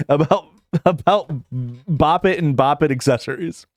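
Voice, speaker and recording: A man's voice: a little high, animated, slow pace, a little mellow, dry recording, studio quality. A young adult man streaming live, reading questions aloud and answering in a rambling, casual way full of fillers and restarts. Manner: rambling, filler-heavy, self-correcting, chuckles.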